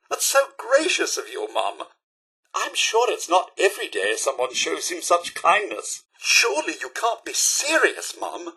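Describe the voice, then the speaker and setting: High, fussy British robot butler. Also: male voice, sharp tone, breathy edge, heavily acted. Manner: prim, formal, theatrical, deferential. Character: fussy, polite, loyal male robot butler. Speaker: voice actor. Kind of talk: video game companion dialogue.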